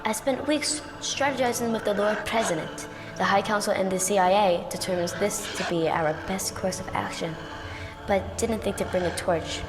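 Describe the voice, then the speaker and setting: An actress playing a no-nonsense, sarcastic Time Lord agent with a dry, brisk manner in a science-fiction audio drama. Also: female voice, mid-range voice, lightly acted. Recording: long reverb with music behind.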